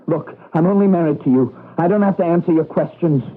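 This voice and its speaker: A man's voice: on the high side, natural voice. Man playing a guarded young husband, tinny radio drama. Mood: bitter, defensive. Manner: hesitant, defensive, with halting stammered starts. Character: restless, guarded young husband in a dystopian future, disillusioned. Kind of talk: 1980s radio drama acting. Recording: band-limited old radio broadcast, music underneath.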